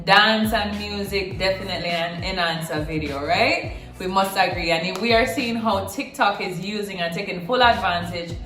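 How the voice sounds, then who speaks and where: Bright Jamaican woman vlogging. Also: medium pitch, moderate pace, soft background music. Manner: chatty, emphatic, repeats words for stress. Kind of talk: casual talk to camera. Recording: roomy echo.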